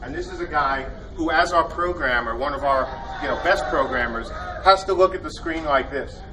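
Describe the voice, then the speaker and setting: A man emcees a convention panel, joking his way through rambling introductions full of fillers. His voice sits a little high and lands from some distance off the mic. Steady noise from the hall fills the recording behind him. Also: moderate pace. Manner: jokey, enthusiastic, rambling with fillers. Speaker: panel host introducing team.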